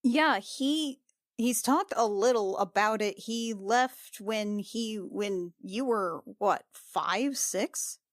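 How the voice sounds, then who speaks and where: A casual, curious female adventurer acted out by a woman talks in halting, colloquial phrases, tactful and a little hesitant. Her mid-pitched voice sits in a very dry, close recording. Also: dry recording, natural voice. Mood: curious, gentle. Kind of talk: in-character tabletop roleplay.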